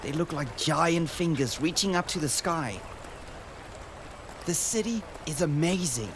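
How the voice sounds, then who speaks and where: Earnest young boy traveler played by a man, fairly high voice, gentle radio-drama storytelling over clear background noise. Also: lively intonation, measured pace. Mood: hushed wonder. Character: earnest, wonder-struck boy recording a journey for his sister. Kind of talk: radio drama narration and dialogue. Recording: noisy outdoor ambience behind the voice.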